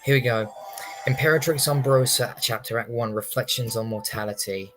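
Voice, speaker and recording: A man's voice: medium pitch, normal speed. Hesitant young man streaming a game. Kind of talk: casual livestream commentary. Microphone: streaming microphone, also picking up game audio. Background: faint game music.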